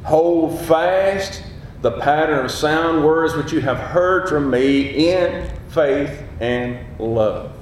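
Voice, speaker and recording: Gruff booming preacher in an echoey hall. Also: male voice, takes it slowly, slight background noise. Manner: passionate, emphatic preaching with rising peaks. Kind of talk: sermon.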